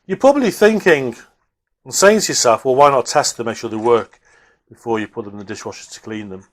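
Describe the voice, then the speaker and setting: A man's voice: medium pitch, a little fast. Man, hesitant and thinking aloud. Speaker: hobbyist video presenter. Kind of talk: casual unscripted workbench commentary.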